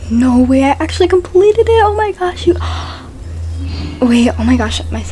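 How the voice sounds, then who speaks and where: A woman's voice: sits high, big pitch swings, moderate pace, mellow. A woman doing casual gameplay commentary as a streamer, talking informally and directly to her viewers. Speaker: gaming streamer. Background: game music.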